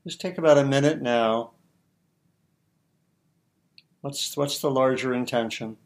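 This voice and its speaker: Slow-speaking man guiding meditation. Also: medium pitch. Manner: halting, spaced-out short phrases. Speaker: meditation teacher. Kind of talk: gently guided meditation instruction.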